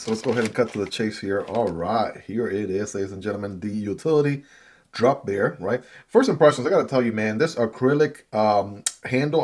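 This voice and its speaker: A man's voice: lowish voice, darkish tone. A man with Chinese as his first language giving a chatty knife unboxing and review, often adding "right?" as he goes. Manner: chatty, repeats "right?" and "I gotta tell you". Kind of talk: casual product review.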